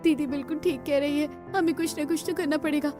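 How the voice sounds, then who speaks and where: A practical, hopeful young daughter-in-law from a poor cartoon family, acted by a woman. Her voice is high, with clear background music beneath it. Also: steady tone, fast talker, lightly acted. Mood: worried. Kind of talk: cartoon story dialogue.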